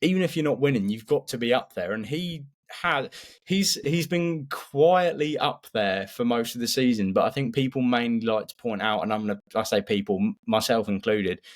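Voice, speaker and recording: A man co-hosting a sports podcast, chatting casually and haltingly, repeating words as he thinks aloud. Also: medium pitch. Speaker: podcast co-host.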